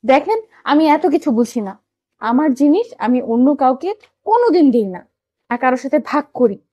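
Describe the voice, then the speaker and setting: A woman playing a possessive, quick-tempered young wife in an audio story, with a sharp scolding manner. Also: sits high, big pitch swings, dry recording, muffled recording. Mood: jealous, sulky. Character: hot-tempered, possessive young wife, a sassy college student. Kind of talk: scripted audio story acting with first-person narration.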